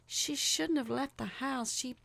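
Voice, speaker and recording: A woman voicing an enigmatic, brisk and reassuring female neighbour in a story, speaking in halting, emphatic phrases. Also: high-pitched, vocal fry, a bit bright, no echo, pitched above the performer's own voice, heavily acted. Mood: reassuring, evasive.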